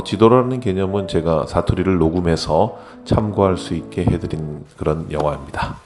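Deep-voiced man recapping a film. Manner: unhurried, frequent hesitation fillers. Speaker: film narrator and actor. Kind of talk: casual spoken film recap.

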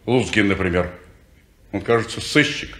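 A man's voice: fairly low pitch, moderate pace, a bit bright, roomy sound, close to an everyday voice. An actor playing a dry, sardonic, cynical man in a 1950s radio play. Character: cynical detached man, former lover.

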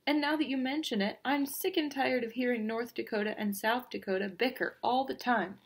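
A woman voicing a kind, excitable best-friend character from a children's storybook, with an eager, exclaiming delivery. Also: on the high side, brisk, breathy edge. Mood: fed up. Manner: eager, exclaiming, shrieking with enthusiasm.

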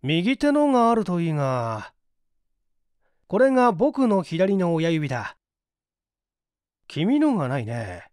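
A man voicing a methodical gentleman detective in an audiobook, assured and analytical as he explains. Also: high voice, no echo, studio quality. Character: confident, methodical gentleman detective in a mystery story.

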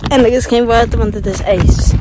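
A woman's voice: on the high side, fairly even intonation, a little fast, noisy background. A young woman chatting casually while hiking a dry scrubland trail, filming herself on a handheld phone. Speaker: vlogger. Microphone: handheld phone camera.

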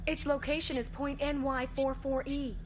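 A woman performs an analytical anime ship officer with a high voice. She is precise, dutiful and businesslike. Clear ambient noise sits behind her. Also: little pitch movement, moderate pace, close to an everyday voice. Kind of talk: anime dub acting.

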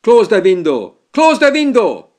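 A woman voicing an angry older male newspaper boss who keeps shouting, in a fan audio drama. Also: medium pitch, huge pitch swings, a little slow, voice pushed up. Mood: furious. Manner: blustering, shouting.

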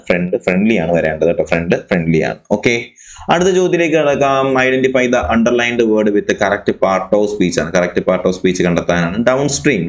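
A man walks through exam answers as a coaching tutor, his voice sitting a little low. He explains in a chatty way, tossing in rhetorical questions and stock English phrases about the correct option. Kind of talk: answer key walkthrough.

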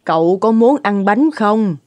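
A woman's voice: medium pitch, expressive, a little slow, a little mellow, no room sound, lightly acted. A woman voicing a sly, murderous middle-aged stepmother who wheedles as a cake seller in a cartoon fairy tale. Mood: sly, wheedling. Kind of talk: animated fairy-tale dubbing. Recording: music under the voice.

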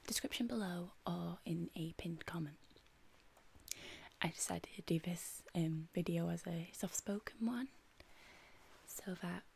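A young woman with a Mancunian accent, whispering soft-spoken ASMR and slowly repeating single words close to the mic. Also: lowish voice, a little hiss or hum. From England. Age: young adult. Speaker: ASMR creator. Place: indoor studio with plain backdrop.

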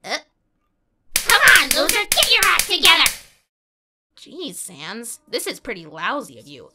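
A woman voicing a small, brash, exasperated talking flower in a comic dub, scolding and insulting loudly. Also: high-pitched, wildly swooping pitch, unhurried, very noisy, heavily acted. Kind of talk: dramatic comic dub voice acting.

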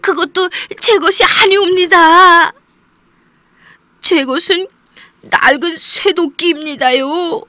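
A woman's voice: very high voice, slow, pauses often, bright, forceful tone, no echo, pitched above the performer's own voice, exaggerated voice. A woman voicing a poor, honest young male woodcutter who pleads politely and on the edge of tears. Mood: pleading. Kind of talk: storybook character voice.

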